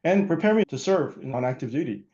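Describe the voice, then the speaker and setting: A middle-aged man from Pennsylvania, a guest giving earnest, measured answers with small restarts. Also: fairly high pitch, animated, a little mellow, highs cut off. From Pennsylvania, USA. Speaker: guest, hospital security chief. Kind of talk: reflective interview answers.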